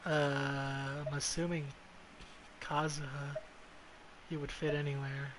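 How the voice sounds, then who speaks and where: Blunt, higher-voiced man streaming games. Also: flat delivery, slow pace, background noise. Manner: blunt, sweary, muttering to himself. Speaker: video game streamer. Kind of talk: casual gameplay commentary. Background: game music.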